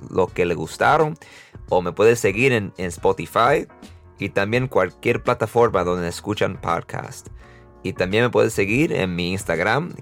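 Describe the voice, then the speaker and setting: A man's voice: on the low side, gravelly. A young man with a mixed Dominican and American Spanish accent, hosting a podcast casually, laughing often and restarting words. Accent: Dominican and American-tinged Spanish. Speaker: podcast host. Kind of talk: casual interview and outro.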